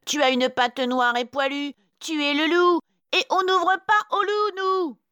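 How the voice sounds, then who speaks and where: Squeaky, cheeky little goat kids. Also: female voice, level tone, unhurried, no room sound, studio quality. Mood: defiant. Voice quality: squeaky, childlike. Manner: loud, singsong taunting.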